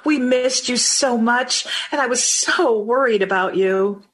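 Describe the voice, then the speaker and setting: A woman's voice: on the high side, measured pace, fairly bright tone, husky. An actress playing an affectionate, earnest 1950s mother and wife in an old-time radio drama. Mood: relieved, tender. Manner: tender, earnest.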